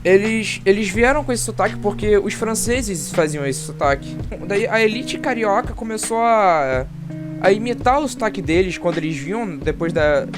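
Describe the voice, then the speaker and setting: A Brazilian teenage boy with a notably high voice talks through a school project answer, rambling and restarting his sentences. Clear guitar music runs underneath him the whole time. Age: teens. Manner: rambling, hesitant explaining with restarts. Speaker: student presenter.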